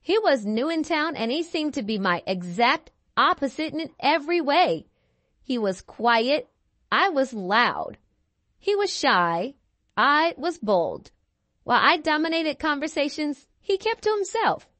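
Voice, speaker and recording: A woman with a high voice reads a children's story aloud. Her intonation is very animated and theatrical, with emphatic phrasing, and the sound is dry and close. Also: measured pace, dry recording. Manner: theatrical, clearly articulated, emphatic. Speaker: children's book reader. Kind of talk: expressive scripted storytelling.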